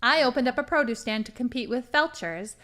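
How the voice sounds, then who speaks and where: Female villain played by a woman, radio comedy-drama: sugary innocence over smug scheming, higher register. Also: lively intonation, brisk, fairly bright tone. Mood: smug, scornful. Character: gleefully manipulative female crime lieutenant posing as sweet innocent. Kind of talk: improvised radio drama acting.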